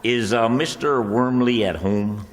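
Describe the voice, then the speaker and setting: A man's voice: medium pitch, measured pace, lightly acted. A man playing an exasperated, bossy country bill collector in a radio comedy, insistent and folksy in his rural grammar. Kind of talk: old-time radio comedy acting on stage. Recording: echoey hall with long reverb.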